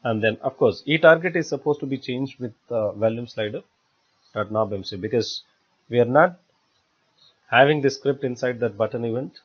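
A man narrating a step-by-step software tutorial in a halting, explanatory way. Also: mid-range voice, husky. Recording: dull lo-fi voice-over recording.

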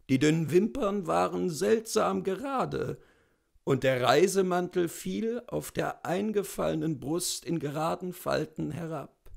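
A man in his thirties narrating a literary story aloud in a measured, expressive way. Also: high-pitched, vocal fry. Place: small indoor room lined with bookshelves.

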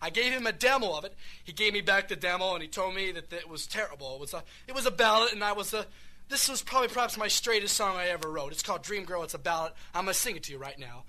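A street singer, a man, rambling earnestly through song introductions with many restarts, his voice a little hoarse. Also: high voice, expressive, fast talker, bright, forceful tone.

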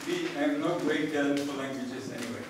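A man in his sixties with an Israeli accent, a native Hebrew speaker, giving a public lecture as a professor with wry, deadpan asides. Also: a little high, a little fast, far from the mic. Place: small lecture room with seated audience, indoors. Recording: echoey room from afar, noisy background.

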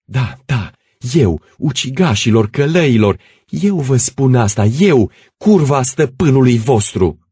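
A man's voice: mid-range voice, expressive, warm tone, slightly rough, dry recording, studio quality, voice pushed up. A man voicing a furious, defiant peasant woman in a storybook, breathless and hurling insults. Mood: furious defiance. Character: furious defiant pregnant peasant woman, wild-eyed, hands on hips.

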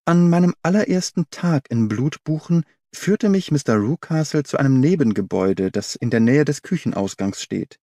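A man narrates a literary story. His medium-pitched voice moves with animated intonation while the phrasing stays measured and clear. The sound is dry, with hardly any room on it. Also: no echo. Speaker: audiobook narrator. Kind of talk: scripted literary narration, audiobook reading.

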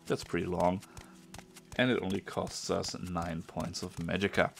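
A man with a German accent, a non-native English speaker, giving chatty let's-play commentary and sometimes pausing to search for a word. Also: sits low, level tone, a bit bright, slight background noise. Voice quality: gravelly. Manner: chatty, pauses to search for words. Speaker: gameplay streamer.

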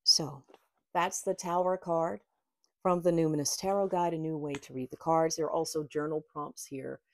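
A middle-aged woman with a slightly low voice reads aloud from her own book. Her phrasing is measured and deliberate, with room for casual asides. The sound is very dry, with no room echo. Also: fairly even intonation. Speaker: author and tarot reader. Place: small book-lined room indoors.

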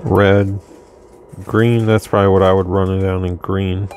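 A man's voice: deep voice, unhurried. A man casually demonstrating a radio at his workbench, rambling a little as he adjusts the gear. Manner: relaxed, rambling, talks while adjusting gear. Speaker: radio reviewer. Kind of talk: casual product demo. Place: workbench with radio equipment, indoors.

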